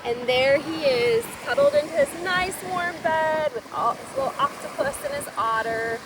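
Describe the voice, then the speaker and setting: A woman reads a children's story in a high, lively voice with wide swings in pitch. She takes her time and explains things simply for little listeners. The mic sounds a little far from her. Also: normal speed. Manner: expressive, explains words simply to young listeners. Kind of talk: read-aloud of a children's picture book with asides. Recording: distant mic, noisy surf-like outdoor ambience.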